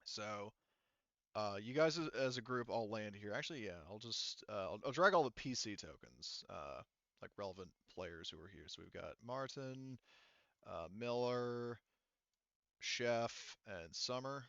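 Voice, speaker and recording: A man running a tabletop game as game master, narrating casually in an informal, halting manner. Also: on the low side, lively intonation, a bit bright, dry recording. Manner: informal, halting, sometimes profane. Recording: dull, muffled lo-fi sound.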